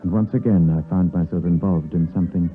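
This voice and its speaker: An actor playing a respectable but troubled British doctor in a 1950s radio drama, formal and halting, gravely earnest. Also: male voice, low voice, steady tone, faint music behind, lightly acted. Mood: grave narrating. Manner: formal, halting under questioning. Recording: muffled, lo-fi 1950s radio broadcast.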